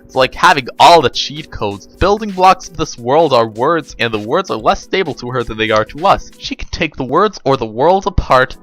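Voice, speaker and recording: A man's voice: on the high side, fairly bright tone. A man voicing a wordy, sarcastic know-it-all with a pedantic manner in a dramatic story reading. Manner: sardonic, pedantic. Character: wordy, sarcastic know-it-all who grudgingly explains things.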